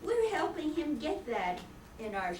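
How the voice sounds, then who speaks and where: Slow, echoey, tired father, played by a woman. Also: high voice, sing-song, darkish tone. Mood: earnest. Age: older. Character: tired, gentle small-town father, quietly principled. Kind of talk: amateur radio-play acting. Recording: echoey, distant room, faint noise.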